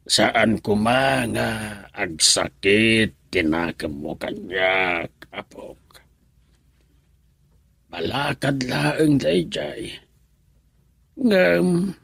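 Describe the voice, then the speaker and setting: Radio drama elder: firm, kindly grandpa, low raspy voice, deliberate and halting. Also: male voice, no echo, clean studio sound, full character voice. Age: older. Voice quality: gravelly, husky old voice. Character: elderly grandfather, caring but firm advisor. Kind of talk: Ilocano radio drama acting.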